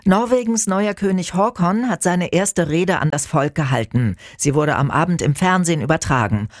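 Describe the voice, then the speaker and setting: A woman's voice: lowish voice, expressive, normal speed, no room sound. A woman reading scripted radio news as an anchor, close to a studio microphone.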